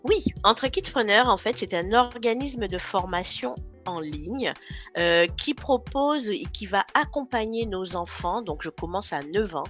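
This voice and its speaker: Female interview guest from Guadeloupe, mid pitch, earnest with frequent fillers, over a remote link, faint music behind. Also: animated, measured pace, fairly bright tone. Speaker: guest, founder of an online training company. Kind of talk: conversational podcast interview. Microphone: remote call.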